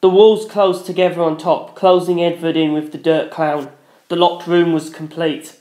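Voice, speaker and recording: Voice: mid-range voice, little pitch movement, moderate pace, mellow. A narrator reading a dramatic first-person story. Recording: echoey room.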